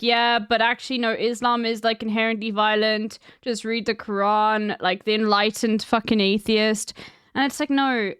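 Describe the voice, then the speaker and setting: A woman's voice: on the high side, fairly bright tone, clear, resonant tone, dry recording, clean studio sound. A woman with an English South African accent, chatting bluntly and swearing as she answers her live-stream chat. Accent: English-speaking South African.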